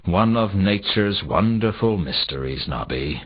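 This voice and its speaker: An actor playing a world-weary, sardonic private detective in a noir video game, with a wry, deadpan, pressing delivery. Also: male voice, low-pitched, measured pace, husky, full character voice. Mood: wry, dismissive. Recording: dull, muffled old console game audio.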